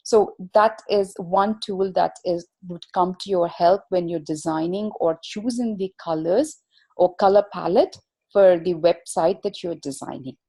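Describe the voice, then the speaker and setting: A woman presenting an instructional webinar as an expert, explaining in a measured, formal manner. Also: on the low side, dark tone, slightly rough.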